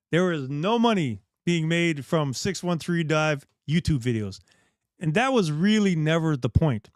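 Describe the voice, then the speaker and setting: A man's voice: fairly high pitch, dry recording, clean studio sound. A middle-aged man from the Bahamas hosting a casual live chat, talking in a rambling, candid way with restarts. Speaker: dive shop host.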